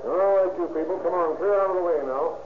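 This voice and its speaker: Man as plainspoken detective, fairly high male voice, terse, vintage radio drama, tinny narrow band. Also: fast talker, close to an everyday voice. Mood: matter-of-fact. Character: terse, matter-of-fact male police detective at a crime scene. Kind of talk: 1930s radio acting. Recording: tinny, hissy old radio recording.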